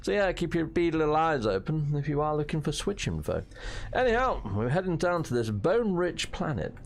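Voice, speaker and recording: A hoarse British man chatting through a game as its host, jokey and fond of playful slang and mild swearing. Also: a little high, sing-song, brisk. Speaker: gaming channel host. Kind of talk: casual gameplay commentary.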